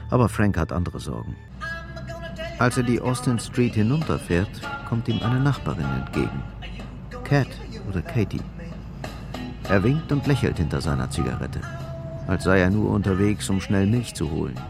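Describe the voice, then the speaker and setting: A man's voice: sits low, very animated, normal speed, creaky. A man narrating a scripted radio drama, with music behind his voice.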